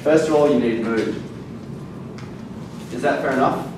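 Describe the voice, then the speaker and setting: A man's voice: mid-range voice, unhurried. A male fitness educator giving a classroom lecture, emphatic and punching key words, with frequent fillers. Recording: very echoey room from far off, noisy.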